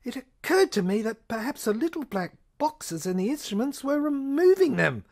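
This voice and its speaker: A woman performing dramatic first-person narration for a science fiction audio play, intense and halting, repeating phrases and at times dropping toward a whisper. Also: high-pitched, big pitch swings, darkish tone.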